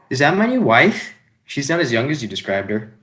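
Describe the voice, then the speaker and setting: A man's voice: medium pitch, pushed and bright. A man in a comedic play reading, playing a vain, fussy bridegroom with a snobbish delivery. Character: vain, fussy bridegroom Romeo proud of his skin.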